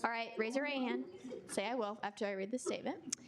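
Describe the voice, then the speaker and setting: A young woman running a student senate swearing-in with relaxed, joking asides and instructions to the room. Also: on the high side, fast talker, over a noisy crowd. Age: young adult. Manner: joking, relaxed, directs people. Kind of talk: ceremony hosting, casual asides.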